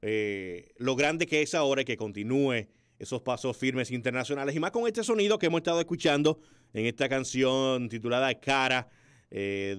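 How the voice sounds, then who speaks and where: A man with a Dominican accent hosting a casual urban music interview on radio, effusive and quick to exclaim. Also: medium pitch, a bit bright, clear, resonant tone. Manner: effusive, frequent exclamations of wow. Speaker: radio host. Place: small radio studio. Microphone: close studio microphone with headphones.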